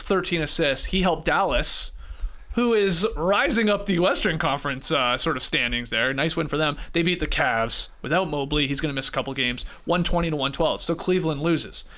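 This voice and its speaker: Male sports podcast host, higher-than-average pitch, conversational recap delivery. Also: moderate pace, pushed and bright. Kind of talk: casual sports chat, recapping scores.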